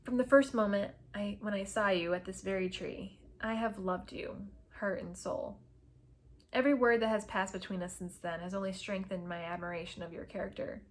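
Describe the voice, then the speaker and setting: Woman acting as gentleman suitor, storybook romance, tender, earnest, formal, lovestruck, soft-spoken delivery. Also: mid-range voice, fairly even intonation, moderate pace, close to an everyday voice. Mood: adoring, pleading.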